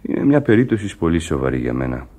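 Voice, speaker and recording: An actor playing a formal, regretful ship captain in a radio play. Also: male voice, rumbling low, sing-song, mellow, full character voice. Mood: grave.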